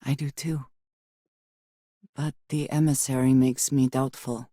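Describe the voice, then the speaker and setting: A woman's voice: very deep voice, level tone, mellow, no room sound. Serious space smuggler woman, game companion, formal careful wording, dry wit, no room sound at all. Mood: measured, wry. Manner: measured, formal speech with few contractions. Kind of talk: video game companion dialogue.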